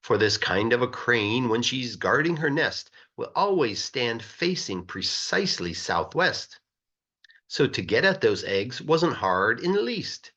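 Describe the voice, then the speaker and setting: A man reads a children's story aloud in an expressive, sing-song rhythm, stretching words for emphasis like a teacher reading to a class. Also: medium pitch, measured pace, highs cut off. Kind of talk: animated rhyming storybook narration.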